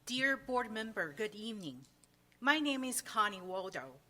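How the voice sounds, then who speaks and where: Mom reading a statement at a board meeting, slow, bright tone. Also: female voice, medium pitch, very animated. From China. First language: Mandarin. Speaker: parent giving public comment. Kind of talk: reading a prepared public statement.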